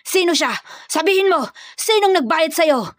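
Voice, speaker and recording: A woman's voice: extremely high pitch, animated, moderate pace, pitched above the performer's own voice. A woman voicing an arrogant, corrupt male mayor, a villain who speaks with emphatic threats in an audio story. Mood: furious.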